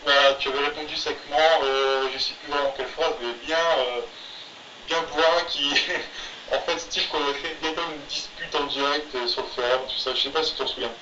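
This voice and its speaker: A man with a bright, forceful voice tells stories haltingly on a homemade podcast, filling gaps with hesitations. He sounds distant in a roomy space, and the recording is dull and lo-fi. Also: a little high, fairly even intonation, slight background noise. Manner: halting, filler-heavy storytelling. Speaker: podcast co-host, manga artist. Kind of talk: casual chat.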